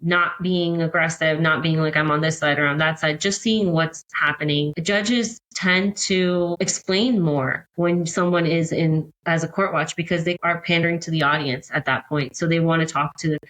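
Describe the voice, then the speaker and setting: A woman's voice: sits low, fairly even intonation, moderate pace, a bit bright, poor audio. An American woman speaking as an advocate and interview guest, explaining things in a conversational way. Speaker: podcast guest, court-watch advocate.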